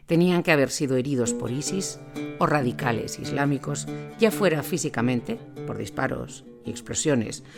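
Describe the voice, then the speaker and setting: A man reading scripted documentary narration as the narrator of a war account. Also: fairly high pitch.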